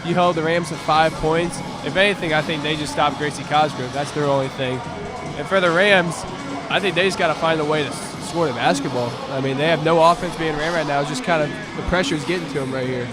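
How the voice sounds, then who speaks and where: A man's voice: a little high, fairly quick, over music, a crowd in the background. A young American man giving conversational color commentary at a live basketball game. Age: young adult. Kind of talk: live sports analysis, conversational.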